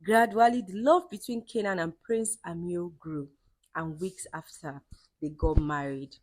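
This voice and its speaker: A woman narrating a folktale in an expressive, dramatic storytelling manner. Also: lowish voice, measured pace, no room sound.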